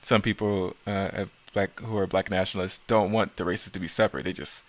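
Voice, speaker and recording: A man's voice: deep voice, level tone, fairly quick. A man giving casual, unscripted commentary as a video creator, rambling and often correcting himself as he goes. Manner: rambling, self-correcting, stumbling over words.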